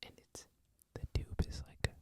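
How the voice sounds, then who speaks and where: An ASMR creator whispering a loose, rambling talk, full of "like" and "um" fillers. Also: measured pace. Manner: soft whisper throughout, frequent "like" and "um" fillers.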